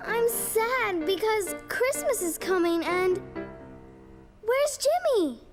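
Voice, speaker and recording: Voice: extremely high pitch, very animated, slow, exaggerated voice. A performer voicing a kind, reassuring little girl acting in a school play in a cartoon. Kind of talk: scripted children's cartoon acting. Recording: hall reverb, faint music behind.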